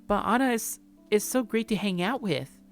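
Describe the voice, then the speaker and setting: Man putting on a high, cheerful young girlfriend voice. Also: lively intonation, moderate pace, a bit bright, faint music behind. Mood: cheerful, pleading. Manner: eager, sweetly pleading. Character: cheerful, friendly young woman, the narrator's girlfriend.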